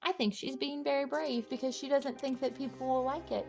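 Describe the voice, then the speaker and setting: A woman reading a children's storybook aloud in an encouraging way, pausing to ask young listeners simple questions. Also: high-pitched, steady tone, creaky, darkish tone, faint music behind. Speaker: children's book read-aloud host.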